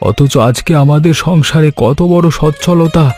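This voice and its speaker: পুরুষ কণ্ঠে আন্তরিক গ্রাম্য স্বামী, একটু চড়া কোমল গলা. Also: male voice, a little high, moderate pace, a little mellow, soft background music, close to an everyday voice. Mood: reflective, sincere. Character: earnest, caring village husband and farmer turned snack seller. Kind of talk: Bengali animated moral story dialogue.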